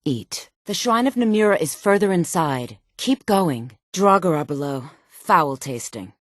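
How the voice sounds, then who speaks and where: An actress voicing a sultry, sly fantasy game woman with a smooth, deliberate, coaxing and quietly menacing delivery. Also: on the low side, expressive, dry recording, studio quality. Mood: reverent, conspiratorial. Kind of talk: video game character dialogue.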